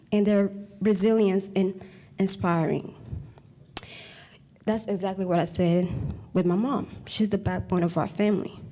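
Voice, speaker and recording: A woman's voice: medium pitch, dark tone. A young woman giving an earnest, prepared speech to an audience, often stopping to restart a word. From Congo, raised in Uganda. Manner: earnest, halting, with frequent restarts on words. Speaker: community speaker.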